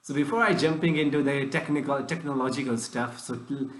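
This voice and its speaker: A man's voice: medium pitch, a little fast, roomy sound, slight background noise. A man hosting a tech podcast who asks loose, rambling questions with broken phrasing and frequent restarts. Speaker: podcast host. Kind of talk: casual interview questions.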